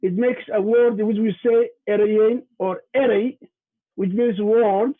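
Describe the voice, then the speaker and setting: Man, Somali accent, very high voice, tinny call audio, halting lecture, frequent fillers. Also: steady tone. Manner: halting, repeats words, many fillers and restarts. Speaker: presenter on Somali language. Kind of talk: lecture over video call. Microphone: video call.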